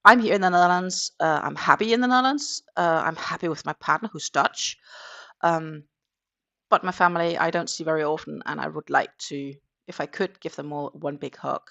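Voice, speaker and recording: A woman's voice: low-pitched, a little fast, gravelly, a bit bright, muffled recording. A Danish-born woman hosting a casual morning crochet livestream in English, chatting in a rambling way with lots of fillers. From Denmark.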